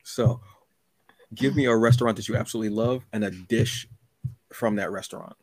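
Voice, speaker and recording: A man with a low voice hosts a casual interview podcast. He talks effusively and rambles, doubling back on words as he goes. The sound is dry and close, with very little room in it. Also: fairly quick, no room sound, low-quality recording.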